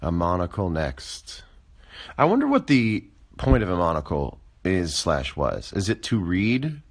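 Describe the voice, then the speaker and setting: A man of forty with a very low voice that still swings widely in pitch as he riffs. He talks casually and wryly on a phone livestream, drifting from musing to dry, deadpan jokes. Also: unhurried. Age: 40s. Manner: wry, musing, deadpan asides. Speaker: livestream host.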